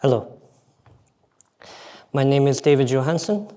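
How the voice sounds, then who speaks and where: A man's voice: mid-range voice, dark tone. American man giving a science talk. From United States. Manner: earnest, measured, with frequent filler pauses. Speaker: conference presenter. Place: conference hall.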